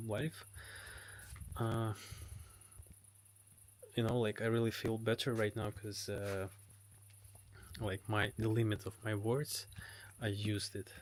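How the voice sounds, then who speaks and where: A man's voice: lowish voice, a little hiss or hum. A Ukrainian man with a Ukrainian accent, hosting a live stream and answering chat comments in hesitant English full of fillers. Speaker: livestream host. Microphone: phone.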